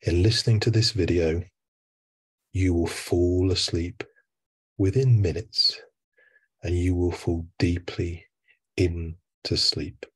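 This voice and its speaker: A man with a deep, breathy voice leads a sleep hypnosis session. He speaks extremely slowly in a soothing, hushed way. Long pauses separate his short phrases. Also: fairly even intonation.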